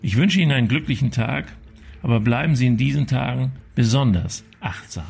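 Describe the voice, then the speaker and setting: Man, radio commentator from Berlin, measured and earnest, gravelly, faint music beneath. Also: medium pitch, expressive, a little mellow. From Berlin, Germany.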